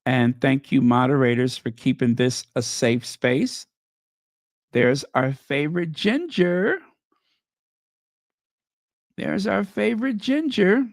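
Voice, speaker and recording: Slow gravelly man going hoarse. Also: mid-range voice, no room sound, clean studio sound. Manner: sarcastic, mocking asides. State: losing his voice. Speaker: livestream host. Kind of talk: casual livestream chat.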